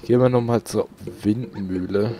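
A man's voice: on the low side, fairly even intonation, moderate pace. A man with a southern German accent giving casual, colloquial gameplay commentary, muttering to himself as he goes. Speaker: let's play host. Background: game music.

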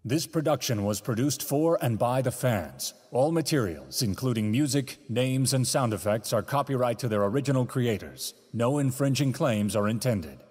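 A man's voice: mid-range voice, animated, normal speed, hardly any pauses, darkish tone, breathy edge. A man reading scripted narration and credits as the announcer of an audio drama, in a dramatic style. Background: orchestral film music.

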